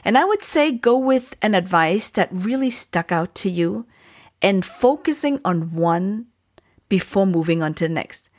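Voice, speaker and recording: A woman's voice: medium pitch, animated, a little slow, darkish tone. A woman hosting a podcast, reading a scripted, upbeat wrap-up and asking listeners questions. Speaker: podcast host.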